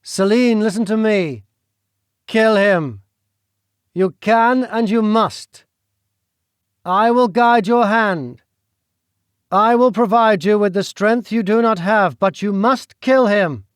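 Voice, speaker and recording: Guy doing a menacing duke barking orders, raised pitch, loud and forceful, dry. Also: slow, long pauses, clear, resonant tone, no echo. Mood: commanding. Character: commanding, menacing duke, older man.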